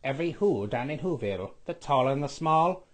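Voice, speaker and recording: A man voicing a scheming skeletal villain lord who reads a storybook aloud with a raspy, sniffly voice, stretching out repeated words. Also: mid-range voice, animated, close to an everyday voice. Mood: gloating. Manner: sing-song storybook reading, drawn-out repeated words.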